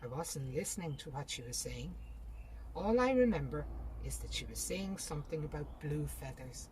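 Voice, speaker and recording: A woman's voice: low-pitched, expressive, normal speed, a little mellow, slight background noise, rough recording. A woman voicing a practical, bossy, consoling sister in a short story. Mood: brisk consoling.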